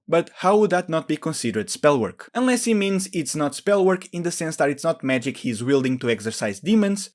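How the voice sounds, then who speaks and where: A man narrating scripted commentary, explaining and speculating as he goes. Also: fairly high pitch. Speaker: video narrator.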